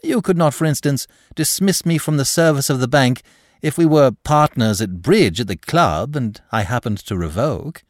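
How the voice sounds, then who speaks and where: A man voicing a suave, impudent young gentleman clerk for an audiobook, in flowery, ingratiating, over-polite speech. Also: mid-range voice, animated, moderate pace, dry recording, studio quality, voice pushed up, close to an everyday voice. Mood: smooth, self-assured. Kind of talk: audiobook character dialogue.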